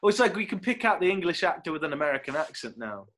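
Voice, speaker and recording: Young british guy rambling about films, slightly high voice, laughs a lot. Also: big pitch swings, quick pace, a bit bright. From United Kingdom. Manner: rambling, laughing, acts out quotes. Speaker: actor guest. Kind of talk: casual chat.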